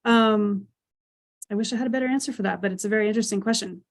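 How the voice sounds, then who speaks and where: A woman's voice: mid-range voice, quick pace. Woman scientist answering questions. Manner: thoughtful, hedging with restarts. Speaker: speaker.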